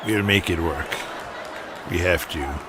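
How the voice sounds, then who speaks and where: Stubborn deep-voiced wrestling promoter father. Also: slow. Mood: stubborn, defensive. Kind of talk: audio drama acting. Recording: noisy arena with crowd behind. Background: crowd and arena ambience.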